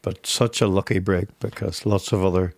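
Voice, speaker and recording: A man's voice: sits low, fairly even intonation, moderate pace, creaky, a little mellow, no echo. A man in his sixties with a Donegal Irish accent, a guest telling stories in a wry way. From Ireland. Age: 60s. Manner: wry, storytelling. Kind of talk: casual interview.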